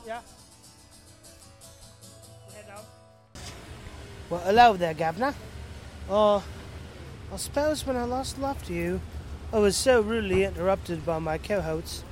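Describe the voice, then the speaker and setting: A man from the American Midwest speaking with an affected-sounding English accent, telling stories in a rambling, repetitive, playful casual monologue. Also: sits high. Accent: put-on sounding English. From Milwaukee, Wisconsin, United States.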